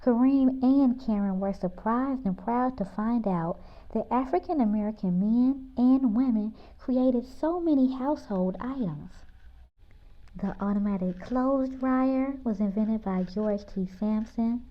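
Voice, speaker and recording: A woman storyteller reading a children's picture book aloud with expression. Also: unhurried. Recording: muffled, low-fidelity audio.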